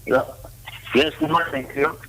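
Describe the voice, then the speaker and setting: A man's voice: on the high side, steady tone, normal speed, very noisy. Polite older man calling in. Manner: polite, formal, hesitant. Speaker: caller. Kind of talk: phone-in question.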